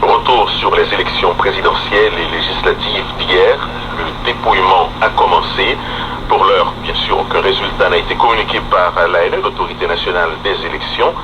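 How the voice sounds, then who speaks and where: Crackly dull shortwave, male news presenter, lowish voice, talks fast. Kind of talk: French-language radio news broadcast. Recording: dull, noisy shortwave radio reception.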